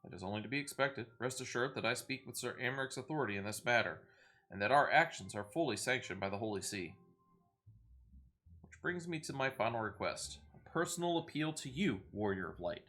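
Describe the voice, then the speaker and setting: A man's voice: mid-range voice, expressive, fairly quick, sharp tone. A man voicing a grave, proud envoy from a besieged fantasy city in a video game, with stately, urgent archaic speech. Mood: formal, pleading.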